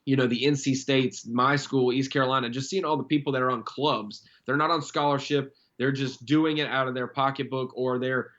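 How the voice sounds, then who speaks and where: A man's voice: medium pitch, steady tone, fairly quick, few pauses, a bit bright. Chatty young man, slightly muffled. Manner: chatty, rambling storyteller. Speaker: guest. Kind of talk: casual chat.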